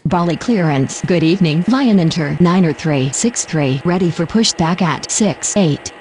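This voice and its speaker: A woman's voice: on the low side, expressive, moderate pace, a little mellow, slight background noise, natural voice, rough recording. A woman voicing a procedural airport radio operator in a game, using formal, clipped aviation phraseology. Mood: businesslike. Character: procedural female airport controller and pilot radio voice. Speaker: air traffic control radio voice.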